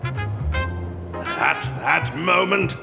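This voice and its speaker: Theatrical game sidekick narrator, pompous, slow and creaky, big swoopy delivery with music behind. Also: male voice, sits high, expressive, big-room sound, full character voice. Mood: melodramatic narration. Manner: pompous, melodramatic, deliberate. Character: theatrical male detective's assistant doubling as narrator. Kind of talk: video game voice acting, noir-style narration.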